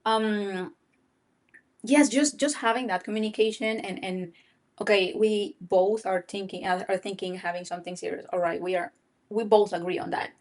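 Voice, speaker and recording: A woman's voice: medium pitch, steady tone, normal speed, darkish tone. A woman whose first language is Spanish, co-hosting a casual chat about dating, often restarting her sentences and repeating words. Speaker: podcast co-host.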